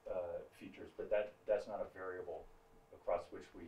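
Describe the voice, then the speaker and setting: Low-voiced man, distant and roomy. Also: flat delivery, fairly quick, mellow, dull audio. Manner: conversational, tags with "right?". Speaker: co-presenter adding comments from the room. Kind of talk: off-mic comment in a talk.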